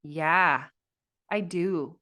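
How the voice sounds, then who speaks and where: A woman with a slightly low-pitched voice co-hosts a casual podcast. She talks emphatically and tells things in an animated, conversational way. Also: very slow. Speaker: podcast co-host. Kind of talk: casual chat.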